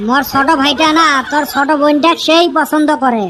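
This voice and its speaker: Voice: high-pitched, a little fast, lightly acted. A performer voicing a hapless, anxious teenage older brother in a comic cartoon dub, whining and pleading in a thin voice. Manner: whiny, pleading, exasperated. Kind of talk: comic dialect cartoon dubbing. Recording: noisy home recording with clicks.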